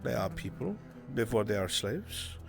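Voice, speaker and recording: A man playing a grave, earnest character in a fantasy roleplay, speaking deliberately with dramatic pauses. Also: fairly low pitch, big pitch swings, vocal fry, soft background music. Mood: measured, probing. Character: grave, earnest man pleading for a lost enslaved friend. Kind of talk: in-character improvised fantasy acting.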